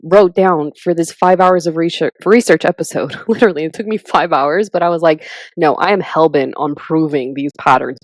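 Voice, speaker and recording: A woman in her thirties co-hosting a podcast in casual chat, animated and chatty, with lots of "like" and "literally". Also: fairly low pitch, dry recording. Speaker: podcast co-host.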